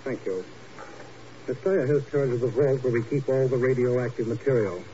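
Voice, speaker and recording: An actor as an urbane hospital doctor with a mellow, medium-pitched voice. He speaks formally and measuredly, courteous but guarded and a touch patronizing. It is a muffled, noisy 1950s radio recording. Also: normal speed, close to an everyday voice. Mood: smooth, guarded. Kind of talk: 1950s radio drama acting.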